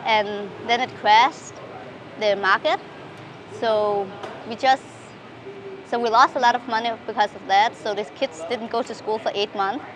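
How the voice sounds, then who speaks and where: A woman's voice: a little high, fairly even intonation, a little slow, a crowd in the background. A woman in her thirties from Denmark, answering interview questions in a hesitant, casual way with many fillers and restarts. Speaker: interview guest. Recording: street recording with clear background noise.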